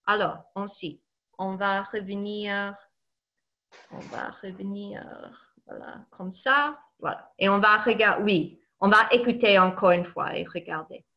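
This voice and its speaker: Slow, patient woman teacher on a dull call. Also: medium pitch, steady tone. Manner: slow, patient instructions with repeated words. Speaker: French teacher. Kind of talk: online language class instruction. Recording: dull lo-fi video call audio.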